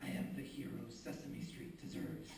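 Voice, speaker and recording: A man voicing Batman as if he were Cookie Monster, in a low, growly, breathy whisper, in a roomy live theater. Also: moderate pace, far from the mic, very noisy, close to an everyday voice. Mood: brooding.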